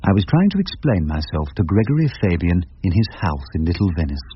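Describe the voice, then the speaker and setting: An actor playing a shrewd, wry older English barrister in a radio drama, speaking deliberately and rhetorically. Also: male voice, deep voice, sing-song, breathy edge, full character voice. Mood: reflective narrating. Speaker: lead character and narrator. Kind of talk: BBC radio drama acting. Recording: dull radio recording with hiss.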